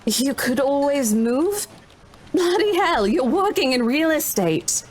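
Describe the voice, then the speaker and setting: A brash saleswoman in a visual novel game. Her delivery is lively and very mobile in pitch, blunt and teasing, yet able to turn politely businesslike. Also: slow, full character voice. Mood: playful. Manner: playful, blunt, expressive.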